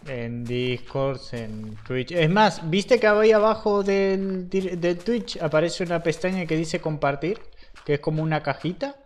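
A man's voice: fairly high pitch, unhurried. A young man streaming a game from his room, chatting casually and swearing freely as he plays. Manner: chatty, swears freely, talks while playing. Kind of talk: casual gaming chat. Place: home room at a computer.

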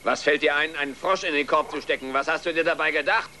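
A man's voice: a little high, fast, pushed and bright, a little hiss or hum. An actor playing an irascible farmer father in a family film dub, blustering as he scolds. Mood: angry. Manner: blustering, stammering when flustered. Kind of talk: dubbed family film acting.

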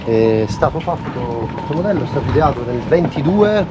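A man explaining the history of the boat he is restoring, pausing to search for the right words. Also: mid-range voice, expressive, very noisy. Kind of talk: casual explanation. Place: outdoors on a boat.

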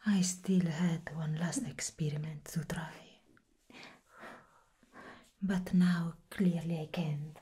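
A woman voicing a stern but fond villainess boss in a soft, composed ASMR roleplay whisper. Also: low voice, fairly even intonation. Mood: cool reproach. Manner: slow, composed, reproachful.

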